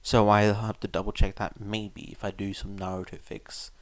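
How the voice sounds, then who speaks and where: A man's voice: lowish voice, level tone, moderate pace, no echo. A man working as a fan fiction reader, either narrating a story or chatting casually to listeners. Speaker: podfic reader. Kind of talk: scripted story narration, casual chat afterwards.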